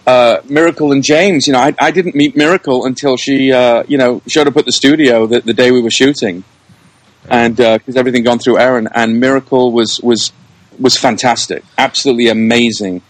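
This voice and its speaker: A man's voice: medium pitch, fairly even intonation, fairly quick, dry recording, low-quality recording. A man speaking as a guest in a casual podcast interview, effusive and often repeating words as he gathers his phrasing. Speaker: interview guest, producer, director and actor.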